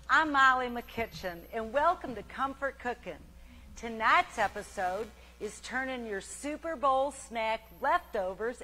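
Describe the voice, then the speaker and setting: An actress playing a folksy, chirpy housewife who hosts a comedy cooking show in old-time radio style. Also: female voice, on the high side, bright, forceful tone, slight background noise. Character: Molly McKitchen, chatty, thrifty homespun cooking-show housewife.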